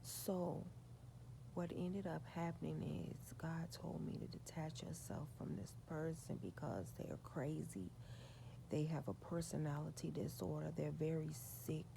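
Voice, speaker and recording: A woman, telling a spiritual story in a slow, confiding way that often drops to a whisper. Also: low voice, creaky, background noise. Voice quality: soft voice. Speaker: vlogger.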